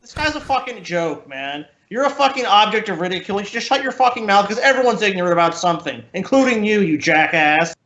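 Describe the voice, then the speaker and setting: High-voiced, foul-mouthed man in an echoey room. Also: animated, fast, hardly any pauses, fairly bright tone. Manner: profane, combative, scornful rants. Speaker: podcast co-host. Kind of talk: casual reaction commentary. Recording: roomy echo, slightly dull sound.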